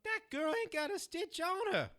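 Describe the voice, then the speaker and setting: A man playing a streetwise, softer-hearted vagrant in an audio drama, talking in excitable slang. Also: very high-pitched, moderate pace, dry recording, clean studio sound, heavily acted. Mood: uneasy. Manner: slangy, exclamatory.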